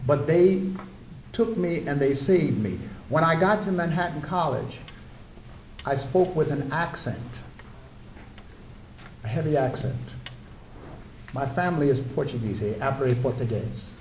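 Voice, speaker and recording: Slow, unhurried older man lecturing. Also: on the high side, expressive, darkish tone, slight background noise. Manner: unhurried, personal anecdotes with asides to the audience. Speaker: guest lecturer. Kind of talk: storytelling lecture. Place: lecture room at a wooden podium. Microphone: podium microphone. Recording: roomy lecture room.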